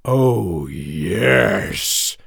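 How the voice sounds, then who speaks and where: A man voicing a big, proud, scornful lion king with a booming voice and menacing, haughty delivery in a children's storybook. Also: animated, very slow, creaky, fairly bright tone, breathy edge, dry recording, studio quality, exaggerated voice. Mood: angry. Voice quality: roaring, booming.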